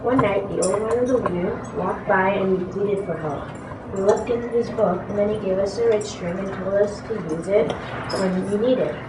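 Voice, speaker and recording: A woman's voice: medium pitch, normal speed. A female performer reading a part in an amateur readers theatre play. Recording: noisy echoey room, distant mic.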